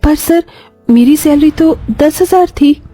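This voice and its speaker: A woman's voice: high-pitched, takes it slowly, mellow, faint music behind, pitched above the performer's own voice. A woman voicing a kind, devoted young daughter-in-law in a moral story, speaking earnestly, respectfully and with feeling. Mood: grateful.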